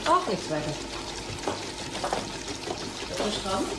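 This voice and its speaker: A woman's voice: medium pitch, big pitch swings, very slow. An actress playing a sarcastic, needling woman colleague at a drug firm, in a German radio drama. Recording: echoey room with clear background noise.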